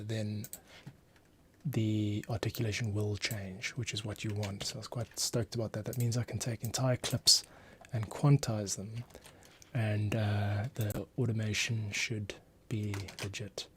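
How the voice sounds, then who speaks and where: A man with a fairly low voice hosts a casual screencast. He thinks aloud, muttering and pausing as he works. There is faint noise behind him, along with typing and clicking. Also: flat delivery. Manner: muttering to himself, pausing while working. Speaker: composer, streamer host. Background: typing, mouse clicks.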